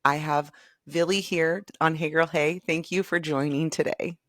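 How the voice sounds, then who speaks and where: A woman's voice: low-pitched, steady tone, normal speed, fairly bright tone, dry recording, clean studio sound. A woman hosting a podcast, effusive and encouraging as she welcomes and praises her guest. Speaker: podcast host. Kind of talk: podcast interview.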